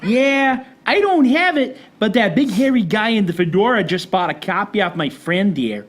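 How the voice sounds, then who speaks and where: A woman's voice: medium pitch, sing-song, moderate pace. A woman playing a brash, indignant street vendor in a comic radio play, slangy and casual.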